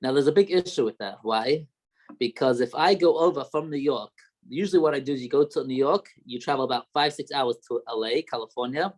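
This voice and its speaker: A man's voice: mid-range voice, brisk, rough recording. Man with a light Australian accent. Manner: explains step by step with questions. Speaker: rabbi teaching a class. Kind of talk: informal Torah class.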